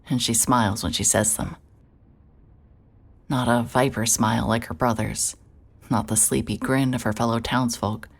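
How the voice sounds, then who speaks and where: A woman playing a weary, introspective private investigator in a horror audio drama, guarded and quiet in manner. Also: rumbling low, breathy edge, heavily acted. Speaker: narrator and lead character. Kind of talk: scripted first-person noir horror audio drama narration.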